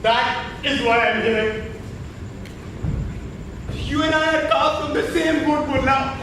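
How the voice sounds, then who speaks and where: A man's voice: very high-pitched, slow pace. A man playing a defiant Sufi holy man in a stage play, declaiming and accusing with mocking boldness. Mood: serene, teasing. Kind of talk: theatrical stage acting. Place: indoor theatre stage, picked up from afar. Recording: distant, very echoey hall, faint noise.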